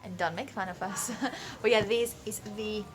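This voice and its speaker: A woman's voice: medium pitch, steady tone, normal speed. Chatty young British woman vlogging. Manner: chatty and giggly, talks straight to viewers. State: tired after work. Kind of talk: casual vlog chat. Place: large furniture store, indoors.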